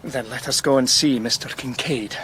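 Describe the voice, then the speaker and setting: An actor playing an earnest Victorian suitor on a dangerous mission in a radio drama. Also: male voice, medium pitch, normal speed, lightly acted. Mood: urgent, earnest.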